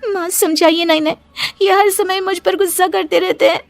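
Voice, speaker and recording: A woman's voice: very high-pitched, steady tone, moderate pace, vocal fry, faint music behind, voice pushed up. A woman voicing a devoted, earnest young daughter and bride in a cartoon moral story, with a pleading way of talking. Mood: tearful, pleading.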